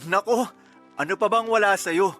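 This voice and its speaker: A man acting a grateful, gently teasing boyfriend in a Tagalog radio romance drama, affectionate and conversational. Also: high-pitched, normal speed, soft background music.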